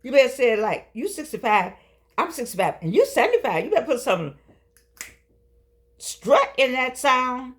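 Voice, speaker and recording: An older woman hosts her own show from home, coaxing and preaching in turns with a playful edge. She stretches words out for emphasis, and her voice sits a little high for a woman. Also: sing-song. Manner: playful, preachy, coaxing, with dramatic drawn-out phrases. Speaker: host. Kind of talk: casual talk show monologue. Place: home studio with keyboard.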